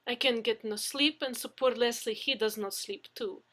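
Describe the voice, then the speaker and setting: A woman's voice: on the high side, moderate pace, a bit bright, voice pushed up. A woman voicing a coy, effusive young Russian woman who speaks broken English with a Russian accent in a story. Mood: pleading. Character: coy, theatrically pleading young foreign woman, Russian fiancée.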